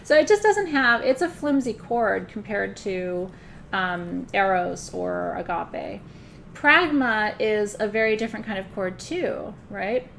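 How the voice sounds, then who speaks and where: A woman's voice: medium pitch, unhurried, vocal fry, a bit bright, a little hiss or hum. A woman teaching a live spiritual class in a loose, conversational way, often checking in with her listeners. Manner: loose, frequent fillers and restarts.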